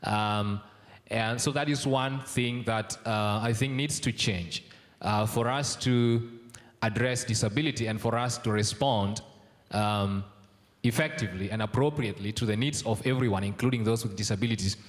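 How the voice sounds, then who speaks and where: A young man from South Sudan giving a formal conference speech, measured and full of ums and uhs. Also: fairly low pitch. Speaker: conference speaker. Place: large conference hall. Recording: long hall reverb.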